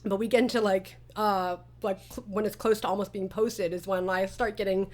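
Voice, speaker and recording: Female, medium pitch, chatty creator-host in a relaxed cast interview, animated storyteller. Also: moderate pace. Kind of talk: casual interview chat.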